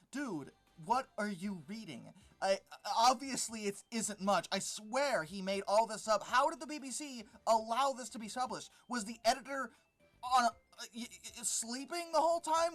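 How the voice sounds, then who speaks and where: An informal video commentator with a middling pitch critiques material point by point. The delivery is exasperated and sarcastic, often waving things off dismissively. Faint music plays behind the voice. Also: expressive, normal speed, a bit bright. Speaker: host of a paleontology commentary channel.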